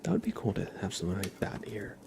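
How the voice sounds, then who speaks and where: An American man hosting an ASMR livestream, speaking in a soft, breathy whisper with an unhurried, gently reassuring manner. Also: low-pitched, huge pitch swings, background noise. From East Coast of the United States, near New York. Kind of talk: whispered ASMR, casual livestream chat and card reading.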